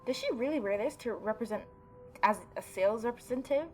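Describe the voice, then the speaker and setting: A woman gaming YouTuber reading a story game aloud and adding expressive, mocking asides. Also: fairly high pitch, fast talker, soft background music. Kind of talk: let's-play reading aloud with reaction commentary.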